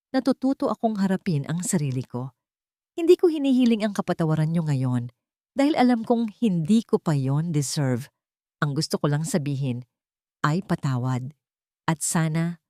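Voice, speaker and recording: A woman's voice: on the low side, dark tone, no room sound, clean studio sound, natural voice. A woman voicing a remorseful grown son in an audio love story, penitent and confessional as he apologizes.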